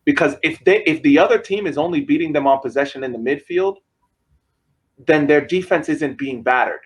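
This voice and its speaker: A man with a husky, breathy voice debating soccer tactics on a podcast. He presses his point, repeats himself and keeps talking over the others. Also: fairly high pitch, fairly quick, rough recording. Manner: argumentative, talks over others, repeats phrases. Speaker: podcast co-host. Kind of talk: casual sports debate.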